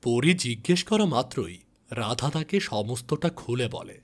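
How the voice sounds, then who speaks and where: A man's voice: mid-range voice, animated, a little slow. A man narrating a scripted moral tale as a storyteller.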